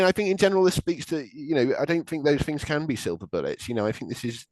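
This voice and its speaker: A young man from Wales, a government policy official on a webinar panel, speaking in a measured, hedging way with many fillers and restarts. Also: lowish voice, a little mellow, no echo, poor audio.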